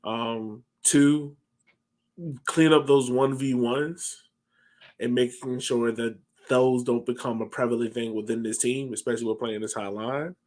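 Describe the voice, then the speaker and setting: A man's voice: mid-range voice, fairly even intonation, measured pace, darkish tone. An American man, a podcast host, casually discussing sports on a video call. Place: home room, on a video call. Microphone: headset on a video call.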